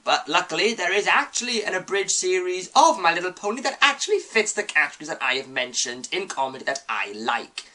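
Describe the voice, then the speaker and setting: A woman's voice: on the low side, very animated, fairly quick, hardly any pauses, bright, forceful tone. A woman reading a scripted, opinionated video review.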